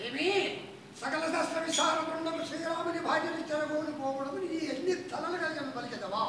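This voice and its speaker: A man voicing an arrogant, threatening demon king in a traditional puppet play, declaiming theatrically; recorded live, echoey and distant with noise. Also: a little fast. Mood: menacing. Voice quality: declaiming, booming theatrical delivery. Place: temple grounds, live puppet show.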